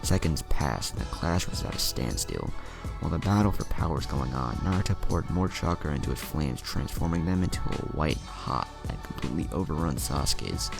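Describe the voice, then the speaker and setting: Very deep, gravelly male storyteller. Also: very animated, moderate pace. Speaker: narrator. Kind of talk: scripted fan fiction narration with acted dialogue. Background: music.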